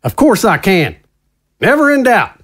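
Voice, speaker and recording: Glad-handing political insider, high-pitched and very animated, folksy, smooth, for audio drama. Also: male voice, measured pace, full character voice. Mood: brisk, confident. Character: slick, glad-handing male conservative politician.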